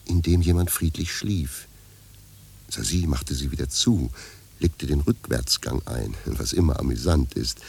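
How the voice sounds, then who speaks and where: Extremely deep, gravelly male narrator. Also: a little mellow. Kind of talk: scripted radio play narration, wry.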